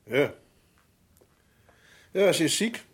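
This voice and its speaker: A gruff, blaming middle-aged husband. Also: male voice, on the high side, big pitch swings, drawn-out words, heavily acted. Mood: gruff, dismissive. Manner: blunt, curt, accusing. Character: gruff, blaming, coarse-mouthed husband and father. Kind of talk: Dutch radio play acting.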